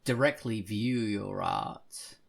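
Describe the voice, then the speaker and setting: A man's voice: fairly low pitch, wildly swooping pitch, takes it slowly, gravelly. A man hosting a podcast, telling stories in a casual, rambling, hesitant way. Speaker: podcast host.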